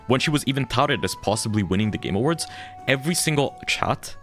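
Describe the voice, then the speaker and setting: A young adult man with a deep voice, a streamer ranting frankly and heatedly about his community and swearing freely. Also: animated, fairly quick, fairly bright tone, soft background music. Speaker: VTuber streamer. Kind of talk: candid ranting monologue.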